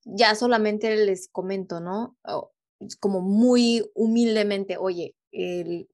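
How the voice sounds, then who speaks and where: A woman's voice: mid-range voice, fairly even intonation, slow pace, a little mellow. A Mexican woman, a guest on a remote interview, talking casually with many hesitations and little rhetorical tags.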